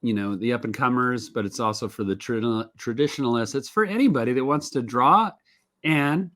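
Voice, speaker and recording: A man's voice: medium pitch, brisk, a bit bright. A man in his fifties co-hosting a casual podcast, teasing his co-host with dry jokes and reading a sponsor plug. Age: 50s.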